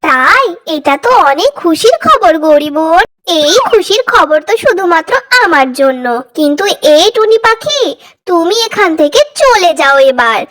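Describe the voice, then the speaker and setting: A woman's voice: big pitch swings, normal speed, fairly bright tone, exaggerated voice. A woman voicing a greedy, boastful female crow who bullies the others in a cartoon. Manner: bragging, threatening, shrill. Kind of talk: cartoon voice acting.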